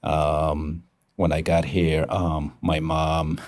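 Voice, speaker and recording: A man's voice: very deep voice, monotone, very slow, darkish tone, no room sound, studio quality. A man in his fifties from Ecuador, speaking English, telling his story earnestly as an interview guest with restarts and chuckles. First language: Spanish. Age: 50s. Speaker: guest, engineering executive. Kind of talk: casual interview talk.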